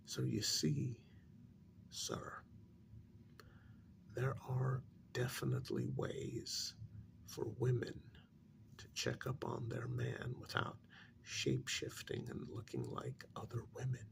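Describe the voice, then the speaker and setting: A man playing a calm, probing psychiatrist in an ASMR role-play, speaking softly and breathily with measured pauses. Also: mid-range voice, heavily acted. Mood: confiding, measured. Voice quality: breathy, half-whispered soft speech. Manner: slow, deliberate, measured with pauses. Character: calm, probing male psychiatrist counseling a supernatural couple.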